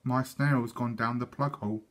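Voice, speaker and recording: A small, tender young girl in a storybook, voiced by a man reading aloud. She speaks quietly and solemnly, with a stubborn streak and a slightly husky edge. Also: mid-range voice, fairly even intonation, moderate pace, close to an everyday voice. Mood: sad, quiet.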